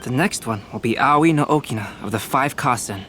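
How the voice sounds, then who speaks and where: Composed, mid-pitched young scholar played by a man. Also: sharp tone, a little hiss or hum, natural voice. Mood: calm, assured. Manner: measured, formal, precise wording. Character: composed young male artist-scholar, analytical and courteous. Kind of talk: video game character acting.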